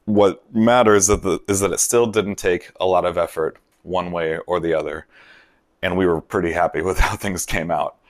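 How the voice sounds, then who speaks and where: A man with a deep, low voice walks through a recipe as a cooking show host. He sounds relaxed and explains each step plainly. The sound is close and dry, with no room around it. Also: normal speed, no echo. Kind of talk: voice-over cooking instructions. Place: kitchen in a vacation villa.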